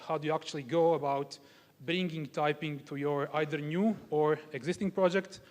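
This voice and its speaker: A young man from Croatia giving a technical conference talk in a large hall, explaining his points step by step with examples. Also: a little high. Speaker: conference speaker. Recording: long hall reverb.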